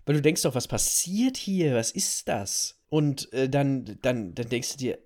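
Mid-pitched man in casual podcast chat. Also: sing-song, unhurried, darkish tone. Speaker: podcast co-host.

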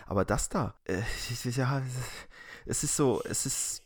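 A man co-hosting a casual podcast, talking in a rambling, halting and incredulous way. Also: mid-range voice, no echo. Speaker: podcast co-host.

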